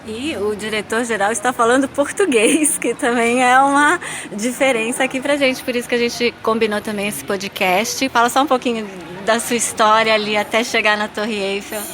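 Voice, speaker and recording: A woman with a Brazilian accent hosts the interview and puts her questions in a brisk, chatty way. Clear ambient noise sits behind her voice. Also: a little high, fairly bright tone. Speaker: podcast host. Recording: noisy background ambience.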